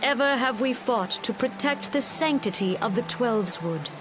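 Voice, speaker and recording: An actress playing a solemn female forest leader giving a measured, formal ceremonial speech in a fantasy game. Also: a little high, animated. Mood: solemn, resolute. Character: gentle, solemn female forest elder leader addressing her people. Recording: music and rain behind the voice.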